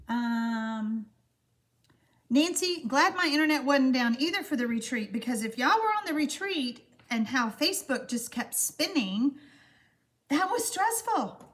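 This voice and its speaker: A middle-aged woman talks her viewers through a craft project in a chatty, running commentary. Her voice sits a little high and swings widely in pitch, with a slight froggy roughness from allergies. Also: fairly bright tone. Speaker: card-making demonstrator hosting a livestream. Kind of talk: casual livestream craft demonstration.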